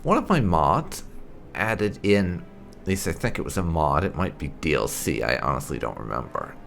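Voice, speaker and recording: Casual game commentator, male, deep voice with swooping intonation, talks through his choices in loose asides. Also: big pitch swings, moderate pace, creaky, a bit bright, a little hiss or hum. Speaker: gaming video host. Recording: game music behind the voice. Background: video game music.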